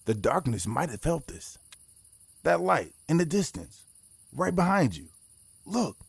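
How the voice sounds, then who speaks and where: A man playing a guarded, pragmatic mission leader in his forties in a naturalistic audio drama, speaking in a measured, reflective way. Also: on the high side, very animated, creaky, breathy edge, no room sound, full character voice. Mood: focused, decisive.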